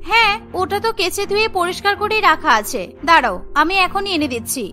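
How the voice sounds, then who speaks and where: A sly, excitable young wife from a family cartoon, acted by a woman. Her voice is extremely high and brightly piercing, over soft music. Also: a little fast, full character voice. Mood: eager, coaxing.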